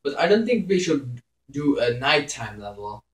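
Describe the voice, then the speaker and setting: A man's voice: mid-range voice, big pitch swings, moderate pace. A man giving casual playthrough commentary, hesitant and muttering to himself as he plays. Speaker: gaming YouTuber. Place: indoors, filming a screen with a camera. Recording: echoey room, faint game music, screen filmed.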